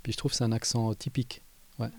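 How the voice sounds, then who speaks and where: A man's voice: mid-range voice, flat delivery, fast talker, creaky, no echo. A man from Valais with a light Valais Swiss French accent, talking through his answers in a street interview, hesitant and full of fillers. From Valais, Switzerland. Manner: thoughtful, hesitant, many fillers.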